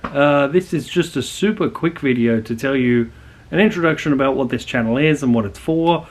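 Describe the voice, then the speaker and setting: Australian accent, young adult man, middle pitch, rambling, earnest, casual vlog chat. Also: normal speed. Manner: rambling and earnest, many fillers and restarts. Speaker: channel host. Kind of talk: casual talking-to-camera channel intro.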